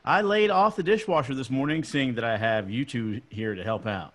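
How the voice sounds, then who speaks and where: A man's voice: mid-range voice, normal speed, a bit bright, close to an everyday voice. A man reading the part of a stingy restaurant boss at a table read, falsely friendly. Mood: smug.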